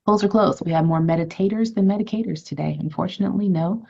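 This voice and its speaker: A woman physician hosts an informational webinar in a low, easy voice. She talks through slides conversationally, fielding questions and running polls. The audio is a little muffled, like a computer microphone on a video call. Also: fast, creaky, mellow, slightly rough. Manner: friendly, conversational, answers questions and runs polls. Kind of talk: educational webinar presentation.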